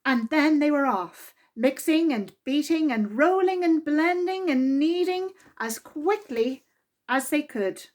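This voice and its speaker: Lady doing kids storytime, high pitched voice, really slow and expressive, draws out the important words. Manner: expressive, deliberate, drawn-out emphasis on key words. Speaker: librarian reading a children's storybook aloud. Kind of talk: children's storytime narration.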